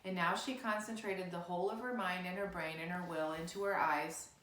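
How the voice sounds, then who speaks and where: A woman's voice: lowish voice, steady tone, brisk, fairly bright tone. A woman reading a children's novel aloud as scripted narration. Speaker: storybook reader. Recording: roomy, echoey indoor sound.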